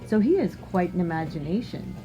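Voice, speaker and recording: A woman reading a storybook aloud like a teacher, expressive and instructive, pausing to put questions to young listeners. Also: lowish voice, soft background music, poor audio.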